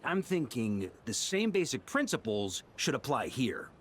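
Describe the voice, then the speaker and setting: An actor playing an easygoing veteran knight leader in a video game, musing and breezy with a theatrical touch. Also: male voice, medium pitch, big pitch swings, a little slow, fairly bright tone, a little hiss or hum. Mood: musing explanation.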